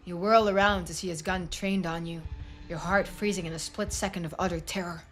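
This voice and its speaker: A woman's voice: on the low side, steady tone, fairly quick, faint music behind. A woman reading dramatic scripted narration for a story-driven video game. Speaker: video game voice-over reader.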